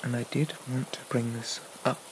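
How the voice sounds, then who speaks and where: A man playing a possessive, haughty demon prince of dreams in an ASMR roleplay, speaking softly and breathily with a coaxing, condescending, regal manner and lingering pauses. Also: medium pitch, flat delivery, natural voice. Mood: possessive, menacing. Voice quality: soft, breathy, often whispered. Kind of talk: ASMR roleplay, soft half-whispered dramatic monologue. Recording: noticeable rain-like background noise.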